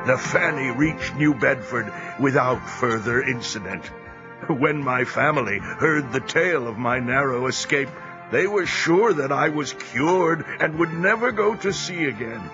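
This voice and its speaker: A man's voice: medium pitch, expressive, a little slow, natural voice. An actor playing a reflective seaman who narrates his boyhood adventure as scripted audio drama. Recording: dull audio with music behind.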